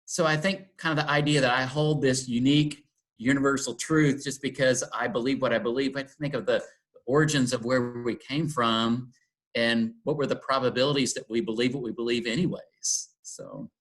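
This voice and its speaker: A middle-aged man from Texas speaks in a thoughtful, unhurried way. He pauses with ums and circles back on his phrases as he works through an idea. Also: mid-range voice, fairly even intonation, fairly bright tone. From Texas, USA. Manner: thoughtful, many ums and restarts. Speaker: interfaith dialogue guest. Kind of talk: reflective conversation.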